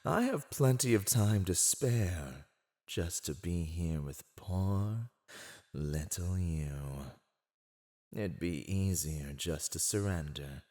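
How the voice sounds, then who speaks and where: A man's voice: low voice, a little mellow. A man voicing a mocking, silver-tongued fantasy villain in a scripted ASMR roleplay, gloating over a captive in a teasing, condescending, theatrical drawl. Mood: menacing, taunting. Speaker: voice actor.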